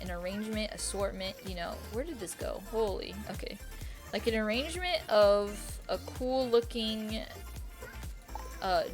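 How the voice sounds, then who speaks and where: A woman chats casually as she streams a game, with music playing faintly behind her. Her voice sits at an average pitch for a woman. She thinks out loud in a rambling, indecisive way, circling back over her own phrases. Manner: rambling, indecisive, repeats herself, mild swearing. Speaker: live streamer, host. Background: quiet music.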